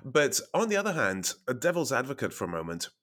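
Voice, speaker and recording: A man's voice: mid-range voice, wildly swooping pitch, fast, a bit bright. A man hosting a tech podcast, sounding congested from a cold, who leads the chat and wryly hands questions to his co-hosts. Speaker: podcast host.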